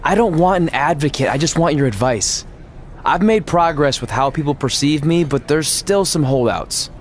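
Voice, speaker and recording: An actor playing an ambitious, determined young pro wrestler in a video game, speaking in an earnest, conversational way. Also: male voice, fairly high pitch, lively intonation, moderate pace, a little hiss or hum, natural voice. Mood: earnest, hopeful. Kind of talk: video game cutscene dialogue and narration.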